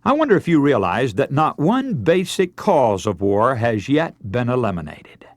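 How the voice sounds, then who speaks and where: Animated radio preacher, dry studio sound. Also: male voice, medium pitch, moderate pace, dry recording. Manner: earnest, oratorical, emphatic. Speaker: radio preacher and broadcast host. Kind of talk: scripted radio sermon, rhetorical questions to listeners.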